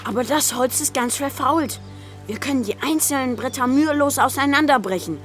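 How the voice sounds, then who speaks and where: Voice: sits high, brisk, fairly bright tone, faint music behind. An actor in a children's audio drama playing a sensible, practical child adventurer who speaks earnestly.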